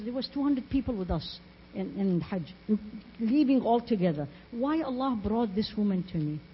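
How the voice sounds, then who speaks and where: Female Islamic teacher, emphatic and sincere storyteller; muffled, faintly noisy recording. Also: mid-range voice. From Iraq. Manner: earnest, emphatic, repeats phrases and asks rhetorical questions. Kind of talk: religious talk with storytelling. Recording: muffled lo-fi audio with faint noise.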